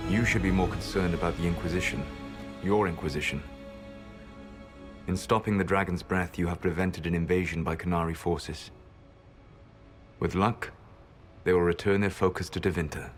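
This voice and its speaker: Game casting: male actor as ancient elven god, very low voice, solemn, proud, regretful, measured delivery over music. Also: close to an everyday voice. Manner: measured, solemn, formal phrasing. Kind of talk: dramatic video game dialogue.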